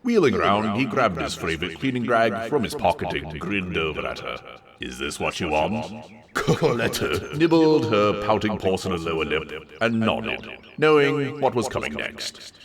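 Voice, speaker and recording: Deep-voiced, highly animated male storyteller. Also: moderate pace, vocal fry, far from the mic, crowd noise. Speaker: story reader. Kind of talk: theatrical comic story narration.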